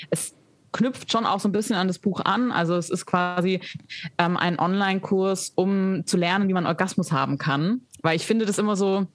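A young female therapist answers interview questions over a video call in a slightly low voice. She giggles often and uses lots of filler words, and the sound is close and dry. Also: dry recording. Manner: warm giggly, many fillers. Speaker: sex therapist, guest.

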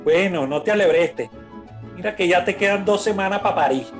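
A man's voice: high-pitched, moderate pace, soft background music, natural voice. A man acting a folksy, loyal working man with a colloquial Venezuelan accent in a radio soap opera. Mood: grumbling.

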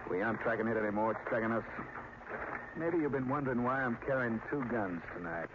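A stubborn small-town sheriff as a male actor plays him in an old radio drama. He is grim and plainspoken, with a folksy turn and a middling pitch. The recording is dull and thick with noise. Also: animated, fast talker, natural voice. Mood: tense, watchful.

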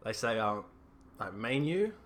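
Young Australian guy, bright forceful voice, rambling chatty guest, faint noise behind. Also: on the low side, very animated, unhurried. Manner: rambling, laughs often, restarts a lot. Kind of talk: casual podcast chat.

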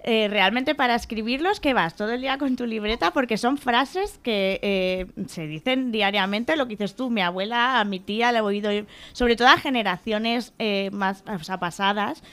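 A woman's voice: on the high side, normal speed, few pauses, fairly bright tone. A woman co-hosting an interview, asking rambling questions with fillers. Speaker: co-host. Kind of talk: casual interview conversation.

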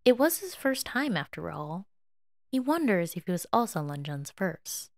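A woman reads a story aloud as its narrator. Her voice sits a little low yet moves with lively intonation, in a close, dry recording. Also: moderate pace, dry recording. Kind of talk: expressive scripted story narration.

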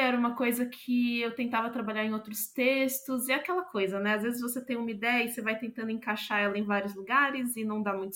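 A Brazilian woman talks casually as an interview guest, a writer thinking aloud about the creative process. The pitch sits in the middle range, and the manner is reflective, often checking in with little question tags. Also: fairly bright tone.

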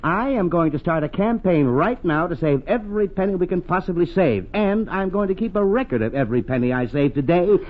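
A man's voice: sits high, expressive, fairly quick, few pauses. An actor playing an exasperated, penny-pinching middle-aged American husband and father in vintage radio comedy style. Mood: indignant, determined. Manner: blustery, emphatic. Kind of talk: 1940s-50s radio comedy acting. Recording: tinny old radio broadcast recording.

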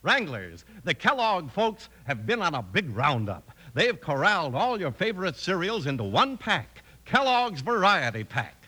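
Animated, bright-voiced vintage radio pitchman. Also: moderate pace, hardly any pauses, breathy edge. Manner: folksy cowboy-themed sales pitch. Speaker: commercial announcer. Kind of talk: 1950s radio advertisement.

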